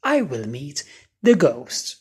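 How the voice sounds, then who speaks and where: A storyteller voicing a curious, nervous but eager young boy in a children's story, softly and hesitantly. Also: medium pitch, very animated, slow, slightly rough, heavily acted. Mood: whispering, determined. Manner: soft, hesitant calling and whispering.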